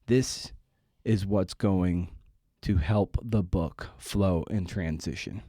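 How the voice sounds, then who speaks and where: A man's voice: sits low, slow, warm tone, no echo, studio quality. A man hosting a podcast, talking informally in a candid, self-deprecating way. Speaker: podcast host.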